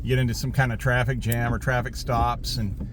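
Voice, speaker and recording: A man's voice: medium pitch, level tone, a little fast. A middle-aged American man giving a casual, rambling monologue in a conversational, emphatic way, repeating himself for emphasis. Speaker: vlogger. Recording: loud vehicle and outdoor background noise.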